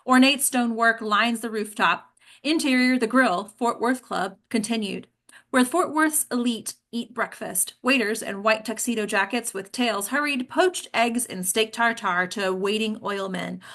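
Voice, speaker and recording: A woman's voice: fairly high pitch, fairly bright tone. A woman reading screenplay action lines as scripted narration at a table read. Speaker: stage directions reader.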